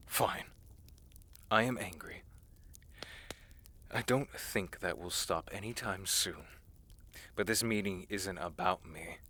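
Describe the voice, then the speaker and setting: A man's voice: sits low, slow pace, pauses often, bright, forceful tone, exaggerated voice. A man playing a proud, distrustful fantasy ruler in an audio drama, speaking in a stern, blunt and challenging way. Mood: grudging anger. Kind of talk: dramatic audio roleplay acting.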